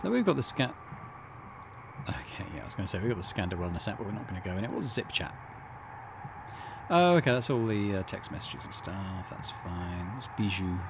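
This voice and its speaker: Lively-pitched man hosting a let's play. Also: brisk, gravelly. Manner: casual, musing asides. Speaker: gaming channel host. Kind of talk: let's play, reading aloud and commenting. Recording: faint background noise and ambience.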